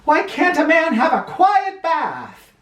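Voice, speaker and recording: A woman's voice: high voice, huge pitch swings, takes it slowly, pitched above the performer's own voice, exaggerated voice. A woman voicing a blustery, indignant, stout man who is a president, for a children's storybook. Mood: exasperated, blustering. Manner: bellowing, indignant, grandiose. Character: blustery, stout, exasperated male president stuck in a bath. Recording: roomy, somewhat far from mic.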